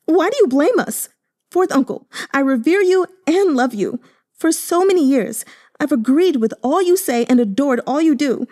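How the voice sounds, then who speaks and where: A sobbing, pampered young man, put on by a woman reader pleads in a high, choked voice. He stammers and pushes the words out in breathless rushes. It sounds mellow and soft at the edges. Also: expressive. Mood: sobbing pleading.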